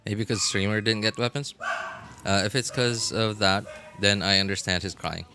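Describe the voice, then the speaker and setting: A man's voice: on the low side, steady tone, normal speed, bright, forceful tone, soft background music. A man live-streaming a game, chatting casually and rambling as he thinks aloud.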